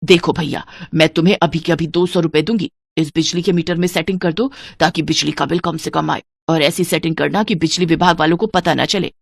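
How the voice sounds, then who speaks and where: A woman's voice: sits low, fairly even intonation, brisk, vocal fry, few pauses, no echo. A woman voicing a stingy, scolding middle-aged mother-in-law in a cartoon moral story, bossy and grumbling. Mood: scheming.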